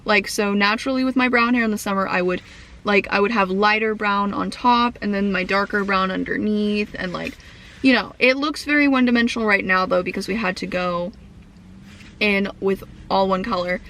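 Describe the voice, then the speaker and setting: A young woman talks straight to her camera in a loose, rambling way, with plenty of fillers and restarts. Her voice is croaky from a cough that has not gone away yet. She sounds casual and at home. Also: medium pitch, fairly even intonation, normal speed, fairly bright tone. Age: young adult. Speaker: vlogger.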